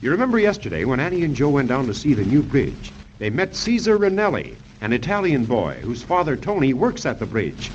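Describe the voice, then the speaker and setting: An American man announcing a 1930s children's radio show, pitching emphatically to young listeners. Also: wildly swooping pitch, brisk, few pauses. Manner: addresses children, emphatic salesmanship. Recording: muffled, noisy 1930s radio recording.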